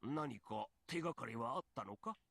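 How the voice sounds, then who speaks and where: Gravelly, grumpy old anime man. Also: medium pitch, expressive, fast, fairly bright tone, no room sound. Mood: grumpy, scolding. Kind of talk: Japanese anime game acting.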